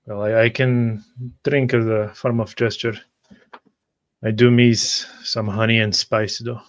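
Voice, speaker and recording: Guy roleplaying at a tabletop game, polite formal wording, halting, pretty monotone. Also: fairly low pitch. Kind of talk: in-character roleplay.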